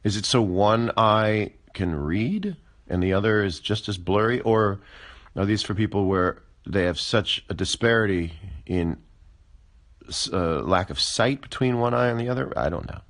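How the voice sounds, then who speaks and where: A man of forty chatting casually on a phone livestream, musing aloud with wry, deadpan asides. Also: on the low side, normal speed. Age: 40s. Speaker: livestream host.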